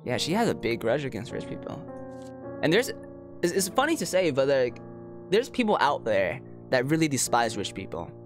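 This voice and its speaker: A man streams a visual novel, reading the text aloud and chatting about it in an informal way. His pitch moves around a lot, with a tone of amused disbelief in his reactions. Music plays clearly behind his voice. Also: big pitch swings, moderate pace.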